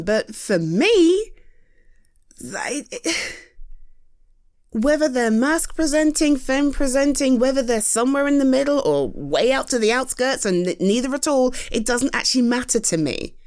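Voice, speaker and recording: A British woman in a roleplay, talking frankly and reassuringly to a friend, with halting restarts and a close studio mic. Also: sits high, lively intonation, darkish tone. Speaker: audio roleplay performer.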